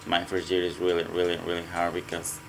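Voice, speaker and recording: Deep-voiced man with a Mexican accent. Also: completely flat delivery, creaky, slight background noise, poor audio. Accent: Mexican Spanish. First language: Spanish. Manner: halting, repeats words, non-native grammar. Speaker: restaurant cook. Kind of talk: casual interview.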